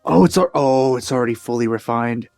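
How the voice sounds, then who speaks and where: A man's voice: mid-range voice, fairly quick, gravelly, darkish tone, no room sound. A man giving casual let's play commentary, informal and musing to himself as he goes. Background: faint game music.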